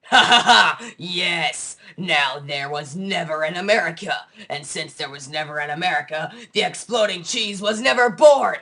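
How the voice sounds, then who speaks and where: An actor plays an irritable cartoon man with a bright, forceful voice and a gravelly edge. He is cynical and dramatic, swinging through sarcastic rants in a comedic animated show. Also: medium pitch, normal speed, few pauses, slight background noise. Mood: ranting, gleeful. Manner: sarcastic, ranting. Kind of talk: comedic animated web-series dialogue.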